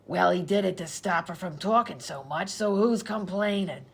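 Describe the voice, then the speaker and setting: A woman playing a bossy, sardonic hospital character in a comedy radio sketch, curt and dry, with a gravelly, husky voice. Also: lowish voice, moderate pace. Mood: sardonic, snappy.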